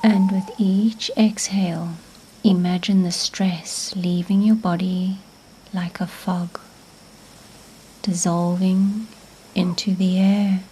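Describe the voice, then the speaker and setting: A woman leads a guided meditation at an extremely slow pace. Her voice is soft and mellow, with breathy, near-whispered endings to her phrases. Faint ambient noise sits behind her gentle, scripted narration. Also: fairly low pitch, flat delivery, rough recording.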